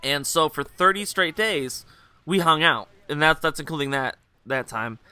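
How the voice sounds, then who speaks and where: A young man with a very bright, crisp, fairly high voice recorded dry and close. He rambles through a story over gameplay with jokes and fillers, sounding hungover. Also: lively intonation, moderate pace, no echo. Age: young adult. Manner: rambling, joking, full of fillers and asides. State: hungover, voice a bit rougher and lower than usual. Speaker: gaming video host.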